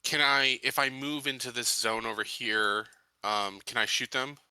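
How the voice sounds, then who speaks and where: A male player in a casual tabletop game session. His voice is strikingly bright and tense, at a middle pitch. The recording is dry, with no room sound. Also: fairly even intonation, moderate pace, vocal fry, clear, resonant tone, dry recording, poor audio.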